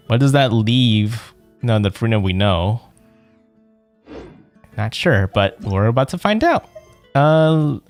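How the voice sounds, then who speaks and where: Rambling male game streamer, music behind. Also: mid-range voice. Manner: rambling, musing, often ends on a questioning "right?". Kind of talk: casual let's play commentary.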